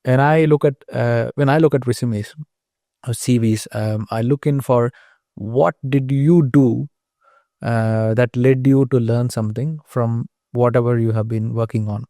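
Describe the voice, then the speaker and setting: A man hosts a casual interview and asks questions hesitantly, with many ums. His voice is mellow and sits at a middle pitch. The recording is dry and close. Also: steady tone, a little slow, no room sound. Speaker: podcast host.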